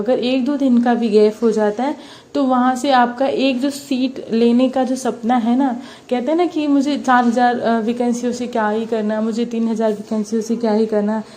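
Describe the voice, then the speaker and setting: A woman's voice: fairly high pitch, mellow, a little hiss or hum. Earnest young woman with a sore throat. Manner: earnest, encouraging, mixes English words into Hindi. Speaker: study vlogger, mother preparing for police exam. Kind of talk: casual motivational vlog talk.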